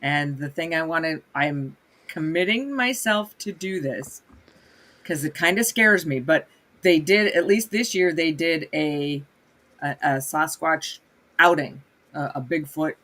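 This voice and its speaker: A woman from Minnesota, a guest on a livestream, chatting in a lively storytelling way with a voice hoarse from a cold. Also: low voice, measured pace. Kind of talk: casual livestream conversation.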